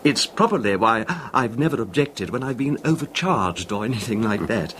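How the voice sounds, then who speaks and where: An actor playing a wealthy, nervous, middle-aged recluse husband in a hesitant, genteel 1970s radio drama style. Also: male voice, lowish voice, animated, normal speed, fairly bright tone. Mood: detached, smug. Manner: hesitant, genteel, formal phrasing. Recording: noisy old radio recording.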